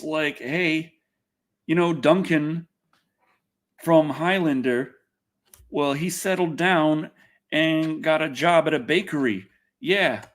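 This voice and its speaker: A man hosting a casual livestream, chatting to viewers in a loose, rambling way with some swearing. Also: a little high. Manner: rambling, loose, expletive-laden. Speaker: livestream host.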